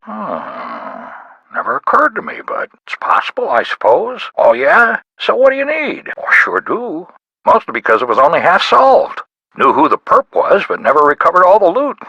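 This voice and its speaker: A gravelly, animated small-town police detective. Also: male voice, sits high, normal speed, no echo, lo-fi sound, heavily acted. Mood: musing, curious. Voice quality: gravelly, husky. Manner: folksy, clipped sentences, wry. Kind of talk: video game voice acting. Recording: band-limited dry phone-style sound.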